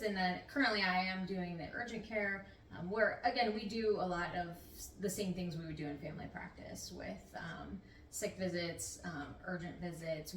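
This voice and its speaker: A middle-aged woman, a nurse practitioner, answering interview questions in an easygoing, talkative, slightly meandering way. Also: fairly low pitch. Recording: roomy, distant mic, faint noise.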